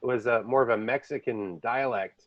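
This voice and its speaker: A man's voice: medium pitch, a little fast, dry recording, dull audio. A man hosting a podcast, telling rambling stories in a casual chat. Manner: rambling storyteller, jumps between languages. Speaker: podcast host. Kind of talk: casual conversation.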